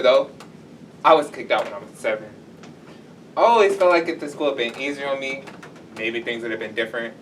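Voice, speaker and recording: A man's voice: mid-range voice, a bit bright, natural voice. A man performing an earnest, confessional stage monologue as a remorseful failed rocker who faked being a teacher. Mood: apologetic, wistful. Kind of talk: live theatrical monologue. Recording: distant stage mic with background noise.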